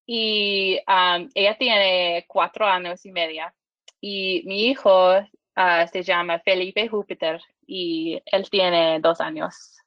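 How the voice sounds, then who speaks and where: A woman in her thirties from Michigan, a podcast guest speaking halting learner's Spanish with English as her first language, full of restarts and fillers. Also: on the low side, fairly even intonation, no echo, dull audio. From Michigan, USA.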